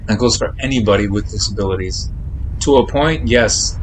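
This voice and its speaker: A man's voice: lowish voice, moderate pace, rough recording. A man chatting casually on a live game stream, speaking in a blunt, admonishing, repetitive way. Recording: noticeable background noise.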